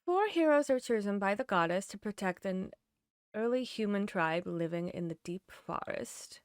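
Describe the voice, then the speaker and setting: A woman's voice: mid-range voice, lively intonation, moderate pace, clear, resonant tone, no echo, studio quality, lightly acted. A woman playing a protective, caring vampire hunter in an intimate fantasy audio roleplay, speaking directly to the listener. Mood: recalling, casual. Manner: intimate, addressing the listener directly, shifting between hushed and urgent.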